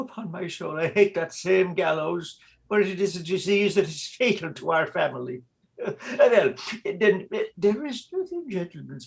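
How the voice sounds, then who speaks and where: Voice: mid-range voice, vocal fry. A performer voicing a flustered Irish priest who pretends to be Flemish, with a thick Irish brogue and a theatrical, wheedling delivery. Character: Irish priest posing as a Flemish chaplain, male. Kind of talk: stage-play reading.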